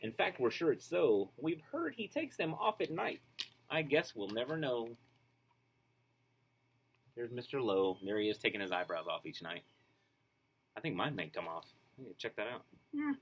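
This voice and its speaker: A man reading a children's storybook aloud, expressive and rhythmic, with casual asides. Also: mid-range voice, normal speed, pauses often, slight background noise. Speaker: storybook reader.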